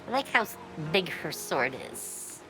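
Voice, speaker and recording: A woman's voice: lowish voice, sing-song, unhurried, noisy background. A woman giving casual, wry and teasing commentary as she streams a video game. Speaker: gaming streamer. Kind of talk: casual let's play commentary. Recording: game music behind voice. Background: video game music.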